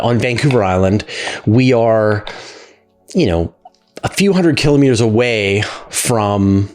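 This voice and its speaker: A man's voice: mid-range voice, a little mellow. A middle-aged man hosting a question show, answering in a conversational, explanatory way and leaning on key words for emphasis. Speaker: host of a space science question show.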